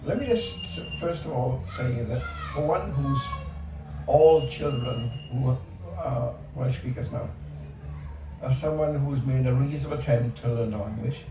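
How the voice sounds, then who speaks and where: A man's voice: mid-range voice, measured pace. Older man speaking emphatically, far off. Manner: emphatic, repeats words for stress. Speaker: panel speaker. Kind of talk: panel discussion. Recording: echoey outdoor space from far off, background noise.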